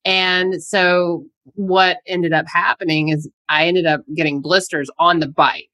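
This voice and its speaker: A woman with a low, deep voice tells a story in a casual chat, animated and quick to laugh, acting out the things people said. The sound is dry and close, with no room echo. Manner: animated, laughing storyteller who acts out quotes.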